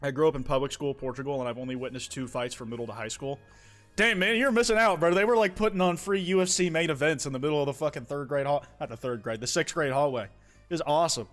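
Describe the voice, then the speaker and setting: Young male streamer, a touch high-pitched, loose rambling delivery full of profanity; faint music under him. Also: quick pace, a bit bright. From United States. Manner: rambling, profane, many restarts. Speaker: streamer reading viewer confessions. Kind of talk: casual live-stream chat.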